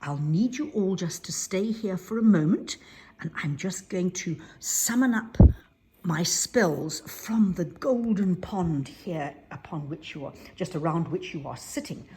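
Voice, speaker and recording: A woman voicing a kind mountain fairy who speaks calmly and turns stern when giving commands. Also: fairly low pitch, a little slow, a little hiss or hum. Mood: gentle. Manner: calm, then stern commands.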